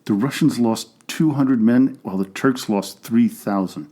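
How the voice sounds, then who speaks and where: A man narrates a history podcast from a script. His voice is mellow and warm, and his delivery stays steady and informative, with a middle pitch. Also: measured pace, breathy edge.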